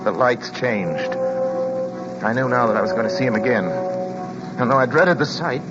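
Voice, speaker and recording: An actor playing a haunted, anxious American motorist, intense and dramatic, in old-time radio drama style. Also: male voice, mid-range voice, lively intonation, lightly acted. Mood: brooding dread. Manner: intense, dramatic, halting when addressing others. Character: haunted, anxious male motorist telling his story. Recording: muffled vintage radio recording, loud music.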